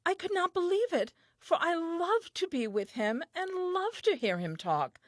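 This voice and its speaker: Woman acting as innocent first woman, earnest, tender, wide-eyed, highly animated intonation, dry close recording, audio play diary. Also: a little slow, no room sound, heavily acted. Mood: hurt, sorrowful.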